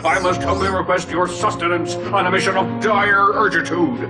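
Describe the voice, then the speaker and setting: An actor playing a pompous, eccentric older tycoon who bombastically mangles grand words. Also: male voice, high-pitched, fairly even intonation, normal speed, over loud music, close to an everyday voice. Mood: urgent, theatrical.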